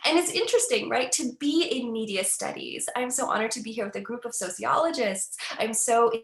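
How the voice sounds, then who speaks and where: An earnest woman in her thirties, raised in the United States, gives a webinar lecture as an academic. Her voice sits a little high, and she often checks in with "right?". The sound carries a roomy echo. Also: animated, brisk. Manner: earnest, tags points with "right?". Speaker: academic speaker.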